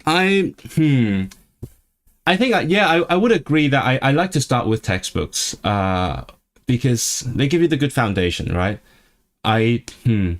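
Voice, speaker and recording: A man's voice: mid-range voice, animated, a little slow. A man who is not a native English speaker, hosting a casual livestream and chatting with his viewers as he reads and answers the live chat. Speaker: livestream host.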